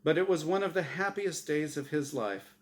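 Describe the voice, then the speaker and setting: A man reading his own story aloud as a dramatic, humorous narration, deliberate and expressive. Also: on the high side.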